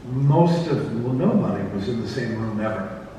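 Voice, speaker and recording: A man's voice: mid-range voice, animated, moderate pace, mellow. A man talking casually as a panelist in a discussion about his production work. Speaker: panelist, audio drama director and actor. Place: convention panel room. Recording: echoey hall, distant mic, faint noise.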